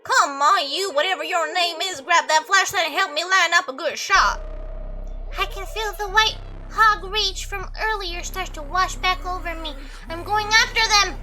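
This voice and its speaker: A woman's voice: very high-pitched, moderate pace, sharp tone, slight background noise, voice pushed up, full character voice. A woman voicing a volatile, protective rural man from a visual novel, with a folksy, emphatic way of talking. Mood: vengeful anger.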